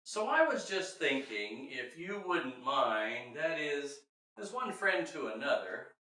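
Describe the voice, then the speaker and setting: A man's voice: fairly high pitch, big pitch swings, takes it slowly, bright, forceful tone, exaggerated voice. A man voicing an earnest, kind young father who speaks in heartfelt rhyme for a children's story. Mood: earnest pleading. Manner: heartfelt, theatrical storybook delivery. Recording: very echoey room, distant mic.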